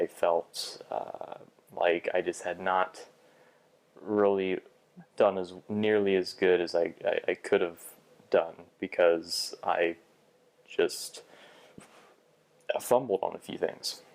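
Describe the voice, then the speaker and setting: A man's voice: sits low, gravelly. A young man talking through a bad job interview in a personal vlog, hesitant, with frequent restarts and fillers. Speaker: vlogger.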